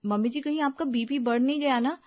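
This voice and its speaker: High-voiced anxious young daughter-in-law played by a woman. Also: fairly quick, highs cut off, lightly acted. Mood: fussing. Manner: fretful, insistent, solicitous. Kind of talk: Hindi animated family moral story.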